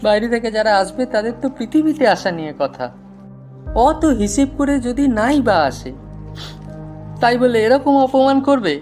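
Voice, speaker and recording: A woman's voice: on the high side, lively intonation, normal speed, darkish tone, lightly acted. A woman acts out a meek village man in a cartoon. He sounds hesitant and easily bewildered, wondering aloud to himself. Music plays behind the voice. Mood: hurt, indignant. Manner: hesitant, wondering, self-muttering. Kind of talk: cartoon voice acting. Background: background music.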